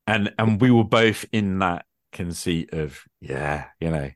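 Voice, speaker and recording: A man talks in a low register, recorded dry with little room sound. He muses in a casual, reflective way, feeling for his phrasing and tossing out rhetorical questions. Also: expressive, a little slow, no room sound. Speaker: podcast speaker, tabletop gamer.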